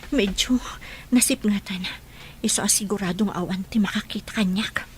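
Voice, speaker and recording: An actress in a radio drama playing a captive woman sneaking out through a window, muttering in a hushed, strained way. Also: mid-range voice, normal speed, noisy background, lightly acted. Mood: tense.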